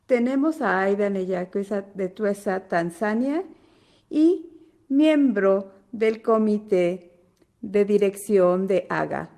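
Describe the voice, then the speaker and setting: An Argentine woman hosting a live online webinar over a video call, greeting attendees one by one in an unhurried way. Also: medium pitch, expressive, mellow. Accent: Argentine Spanish. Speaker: webinar host.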